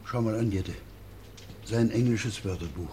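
A man's voice: on the low side, a little slow, mellow, slight background noise, close to an everyday voice. A man playing a grumbling, petty householder in a radio drama, querulous yet deferential to officials. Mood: irritated. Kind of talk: German radio play acting.

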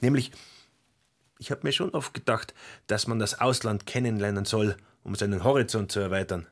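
A man's voice: fairly low pitch, steady tone, moderate pace. An actor playing a self-important, opinionated young salesman with a Bavarian accent in a radio play. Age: young adult.

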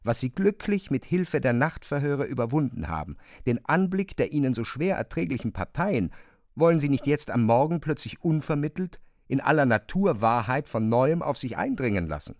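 A man's voice: mid-range voice, sing-song, normal speed, dry recording, studio quality. A man reads scripted literary narration for a radio drama.

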